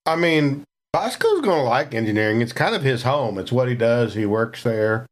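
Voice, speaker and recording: A player in a tabletop game, relaxed and chatty, describing his character in the third person. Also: medium pitch, normal speed. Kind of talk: casual tabletop game play.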